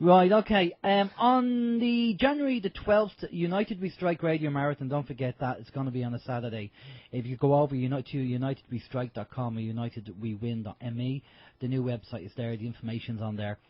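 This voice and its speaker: Man, husky voice, upbeat radio co-host, dull lo-fi audio. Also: medium pitch, a little fast, no echo. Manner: chatty, upbeat, hesitant with fillers. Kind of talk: casual radio chat.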